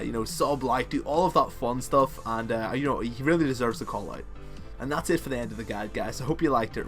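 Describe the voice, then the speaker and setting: A man's voice: mid-range voice, a bit bright. Northern Irish man explaining game strategy. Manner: casual, rambling, with frequent fillers. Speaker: game guide maker. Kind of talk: game strategy tutorial voice-over.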